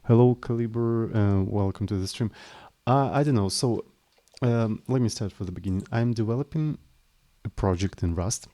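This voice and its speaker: Mellow-voiced man streaming while coding. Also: on the low side, normal speed. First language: not English. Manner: hesitant and apologetic, frequent restarts. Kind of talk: casual live stream chat, explaining code.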